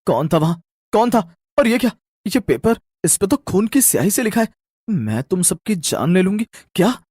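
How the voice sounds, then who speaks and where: A man's voice: high-pitched, big pitch swings, moderate pace, darkish tone, breathy edge, dry recording, clean studio sound, full character voice. A man playing a scared, insistent college-age son in a horror story, anxious and stammering. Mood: frightened.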